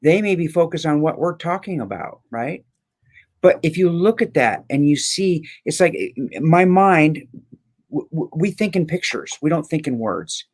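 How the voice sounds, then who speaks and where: A man's voice: a little high, expressive, measured pace. A man speaking as an inspirational guest in a reflective conversation, earnest and building his points through repeated phrases.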